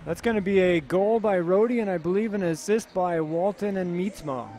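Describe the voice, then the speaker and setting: A man from Canada giving relaxed play-by-play commentary on a youth hockey game, chatty and full of filler words. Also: sits high, moderate pace.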